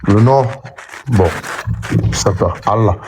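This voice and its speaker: A male caller with a low voice argues back hesitantly and defensively. He sounds a little removed from the mic. Faint noise sits under his voice. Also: slow. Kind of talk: live debate with host.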